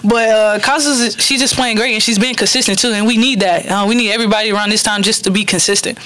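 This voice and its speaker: A young woman athlete speaks into a table microphone at a press conference, bright and clear-toned. She talks in a chatty, playful way, circling back with restarts and fillers. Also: medium pitch, fairly even intonation, fast. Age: young adult. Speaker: college basketball player. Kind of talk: postgame press conference answers. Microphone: table microphone on a stand.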